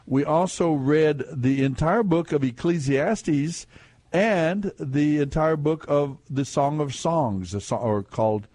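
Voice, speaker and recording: A man's voice: fairly high pitch, takes it slowly, a little mellow, no room sound. A man hosting a call-in radio quiz show, talking in a folksy, rambling way. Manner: rambling, folksy, restarts and fillers, laughs easily. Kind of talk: casual call-in radio talk.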